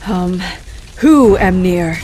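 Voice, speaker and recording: An actress playing a steady, watchful heroic warrior woman with deliberate, slightly hesitant phrasing. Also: lowish voice. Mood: wary. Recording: clear background noise.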